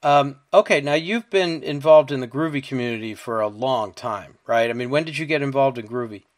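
A man's voice: medium pitch, brisk. Easygoing man hosting an interview. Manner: easygoing, prompts with tag questions. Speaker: interviewer, podcast host. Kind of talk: conversational interview.

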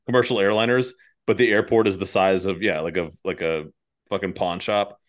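A man's voice: deep voice, steady tone, a little fast. A man hosting a podcast in casual chat, with an irreverent, sweary way of talking. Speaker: podcast host.

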